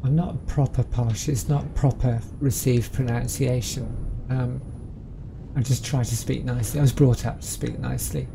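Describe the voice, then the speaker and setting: A woman's voice: extremely low pitch, steady tone, moderate pace, vocal fry, background noise. A woman with a Southern English accent chatting casually as she plays a game, rambling with plenty of ums. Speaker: game streamer. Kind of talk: casual let's-play commentary.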